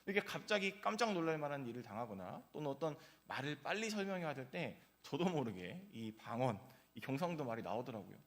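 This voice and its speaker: Man preaching an anecdotal morning sermon. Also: fairly high pitch. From South Korea, grew up in Gyeongsang region. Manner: conversational storytelling with frequent "uh" fillers. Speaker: pastor. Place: church.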